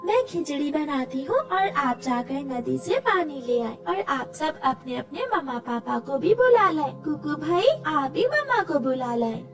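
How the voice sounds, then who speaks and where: A woman's voice: high voice, very animated, a little slow, hardly any pauses, exaggerated voice. A woman voicing a sweet little girl bird in a cartoon story, bubbly, childlike and eager. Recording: distant mic with music behind.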